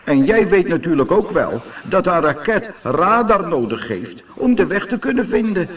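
Plaintive, dented lost rocket in a children's tale, male narrator acting it, raised pitch, swooping delivery. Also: sing-song, normal speed, a bit bright, far from the mic. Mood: plaintive, pained. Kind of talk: children's audiobook character voice.

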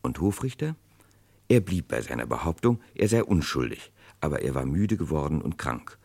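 An actor narrating a 1960s radio crime play as a grave chronicler, with dramatic, deliberate delivery. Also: male voice, rumbling low, big pitch swings, vocal fry, darkish tone, slightly rough, full character voice. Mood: grave, suspenseful. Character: grave male chronicler of a historic murder case.

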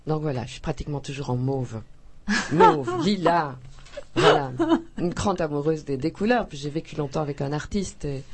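A woman's voice: low-pitched, big pitch swings, moderate pace, a little hiss or hum. A woman author from Belgium in a radio interview, talking effusively with frequent hesitations, restarts and laughter.

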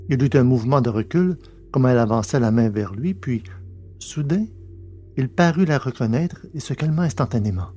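A man's voice: medium pitch, dark tone. A man narrating a science-fiction adventure novel as a scripted audiobook reading.

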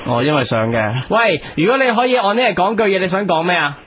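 A man's voice: high voice, expressive, fast, a bit bright, slight background noise. A man with a Hong Kong Cantonese accent hosting a radio show, chatting playfully and teasingly. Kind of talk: playful prank-style phone chat. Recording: music bed behind voice.

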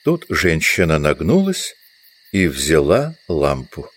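A man's voice: rumbling low, huge pitch swings, slow. A man reading scripted literary narration as the narrator of an audio drama.

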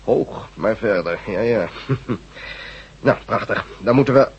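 An actor playing a domineering chief in a science fiction radio drama, giving blunt orders with a sardonic edge. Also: male voice, lowish voice, slow pace, breathy edge, full character voice. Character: gruff, domineering male chief of a group. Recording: dull lo-fi radio drama recording.

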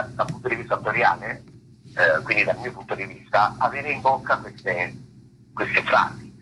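A man giving unscripted interview answers as an actor, haltingly searching for words and rephrasing himself. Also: fairly low pitch, sharp tone, a little hiss or hum, rough recording. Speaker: stage actor, interview guest.

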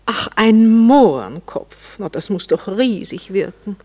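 A woman's voice: mid-range voice, very animated, slow pace, dark tone. An elegant lady of society in a classic radio drama. She speaks with a slight colour. Her manner is mocking and coquettish, and she pries with condescending curiosity. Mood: gushing, mocking. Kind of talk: classic German radio play acting.

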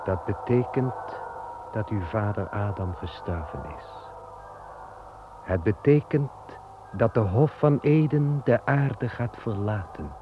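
A man voicing a calm, solemn male angel guarding a paradise garden, speaking slowly and with measure in an old radio play. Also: lowish voice, background noise. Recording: dull old radio play recording with music.

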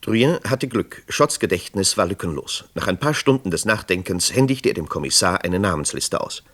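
A man's voice: low-pitched, animated, moderate pace, fairly bright tone. A man narrating a scripted true crime radio drama in a sober manner. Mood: sober, suspenseful.

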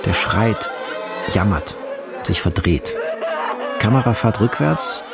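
A man's voice: fairly low pitch, lively intonation, slow pace, gravelly, over a noisy crowd, full character voice. A male actor narrating film scene directions for a radio drama in terse, descriptive phrases, with music behind him.

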